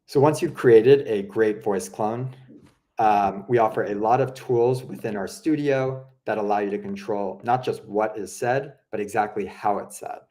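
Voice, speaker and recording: A young man with a slightly low voice talks viewers through a software tool. He keeps it loose and conversational, full of fillers, as if chatting across a desk. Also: moderate pace. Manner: relaxed, chatty, many fillers like "you know" and "um". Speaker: product presenter. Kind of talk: casual explanatory walkthrough.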